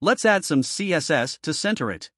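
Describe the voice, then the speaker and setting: Male tutorial narrator, slightly high-pitched, scripted instructional read, very dry sound. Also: sing-song, normal speed, a bit bright, no echo. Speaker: programming tutorial presenter.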